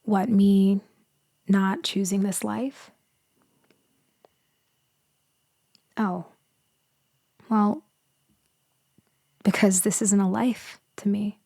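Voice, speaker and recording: A woman playing a gentle, melancholy immortal female vampire in a sleep ASMR roleplay, speaking softly and intimately, often slipping into a whisper. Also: medium pitch, steady tone, dry recording. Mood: somber. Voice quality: soft, breathy, often whispering. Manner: slow, hushed, wistful, intimate.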